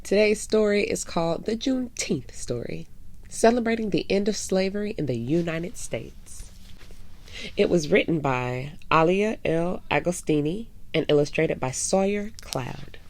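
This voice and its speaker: Low-voiced woman reading a children's story. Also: a little mellow. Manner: addresses young listeners, measured with drawn-out emphasis. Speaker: host and reader of a children's story time. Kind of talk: read-aloud storytelling of a children's picture book.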